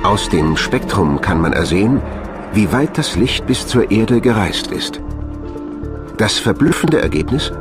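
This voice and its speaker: Male narrator for a science documentary, low register with lively melody in the read, over music. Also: unhurried.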